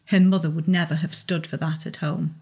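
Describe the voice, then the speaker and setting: A woman's voice: sits low, little pitch movement, fairly quick, mellow, breathy edge, muffled recording. A woman voicing a grieving, anxious teenage girl in a story, hesitant and plaintive, stumbling over her words. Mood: lonely, aggrieved.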